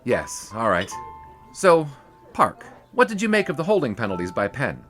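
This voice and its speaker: An actor playing an earnest young sportswriter from the 1920s in an audio drama. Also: male voice, medium pitch, wildly swooping pitch, normal speed, a bit bright. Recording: music playing underneath.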